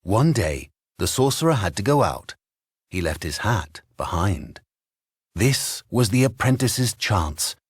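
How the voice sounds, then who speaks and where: Slow, animated male storybook narrator. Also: on the low side, breathy edge, no echo. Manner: expressive, dramatic storytelling. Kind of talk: scripted children's story narration.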